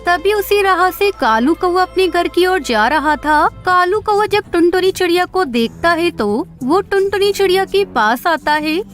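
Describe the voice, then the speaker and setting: A woman's voice: very high voice, expressive, a little slow, hardly any pauses, a bit bright, faint music behind. A woman narrating a scripted children's animal story.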